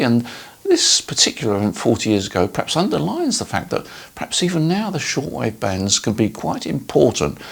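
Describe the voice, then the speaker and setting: A man's voice: medium pitch, creaky, slightly rough. An older man chatting casually to viewers as a video host, in a conversational, rambling way. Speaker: host of a ham radio dealer's video channel. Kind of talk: casual informal talk to viewers.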